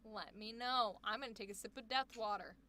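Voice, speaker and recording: A woman coaching voice actors on a live stream, reacting with effusive exclamations and quick asides. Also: a little high, sharp tone, a little hiss or hum. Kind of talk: live stream commentary on student recordings.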